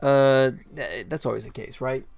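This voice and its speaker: A man's voice: medium pitch, normal speed, vocal fry, faint music behind. A middle-aged man chatting casually and wryly on a livestream. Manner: easygoing, wry, self-deprecating. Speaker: game developer livestreamer. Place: indoor desk, livestream webcam setup.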